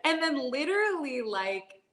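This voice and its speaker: Woman, 30s, bright open tone, chatty guest, filler-heavy candid storytelling. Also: sits high, sing-song, a little slow. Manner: chatty, many "like" and "you know" fillers, laughs often. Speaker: podcast guest.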